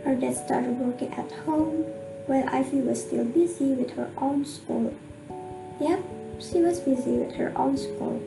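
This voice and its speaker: A woman's voice: sits high, unhurried, vocal fry, low-quality recording. A woman narrating a children's tale for an amateur radio drama in a scripted storytelling style. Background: guitar music.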